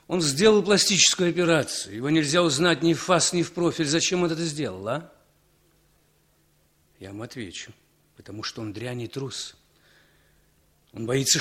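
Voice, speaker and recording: Casting: male as a drunk, embittered ex-prisoner living in exile, 1960s radio play. Medium pitch, halting, brooding, self-accusing delivery. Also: a bit bright. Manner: halting, brooding, repeats himself with bitter emphasis. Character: bitter, drunken, self-loathing émigré, a former prisoner of war.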